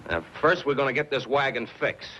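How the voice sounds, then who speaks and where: An actor playing a blunt, seasoned wagon-train guide with an American accent in a radio western. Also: male voice, mid-range voice, fairly quick, natural voice. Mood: firm, defiant. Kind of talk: old-time radio western acting. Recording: dull old radio recording, faint noise.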